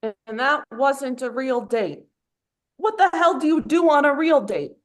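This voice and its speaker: A woman reads a laid-back young male actor in a sitcom read-through. The voice is on the high side, casual yet easily touchy. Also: measured pace. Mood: casual, teasing. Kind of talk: table read of a sitcom script.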